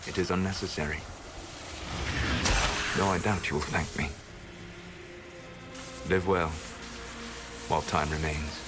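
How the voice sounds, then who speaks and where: An actor playing a calm, cold, world-weary rebel mage in a video game cutscene, speaking in a measured, formal way. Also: male voice, sits low. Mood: grave, resigned. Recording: background music under dialogue.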